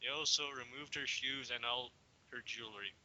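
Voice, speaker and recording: A man's voice: mid-range voice, steady tone, moderate pace, sharp tone. A young man giving an amateur dramatic reading of a story, stumbling now and then and slipping into casual asides. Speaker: reader. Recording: dull lo-fi home recording.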